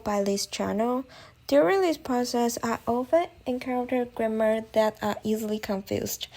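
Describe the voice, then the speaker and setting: A woman's voice: on the high side, mellow. A young woman whose first language is Chinese, narrating a study tutorial in careful, slightly non-native English. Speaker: language-learning vlogger. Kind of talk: scripted voice-over tutorial.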